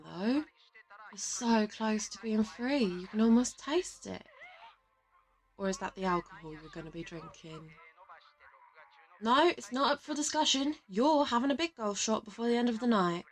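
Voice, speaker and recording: A woman's voice: medium pitch, lively intonation, moderate pace, close to an everyday voice, low-quality recording. A woman playing a teasing, worldly older assassin who chats and gives bossy advice in an audio roleplay. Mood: playful, bossy. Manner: chatty, advising, bossy.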